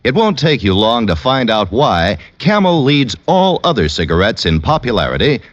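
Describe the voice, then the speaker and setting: A man announcing a sponsor pitch in persuasive 1950s radio style. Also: very animated, moderate pace. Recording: dull lo-fi old radio recording.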